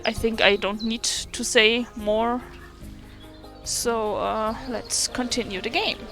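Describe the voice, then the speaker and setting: A woman from Germany with a German accent, chatting casually over gameplay, haltingly searching for her words in a self-deprecating way. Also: on the high side, steady tone, a bit bright. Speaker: gaming video creator and streamer. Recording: faint game music behind.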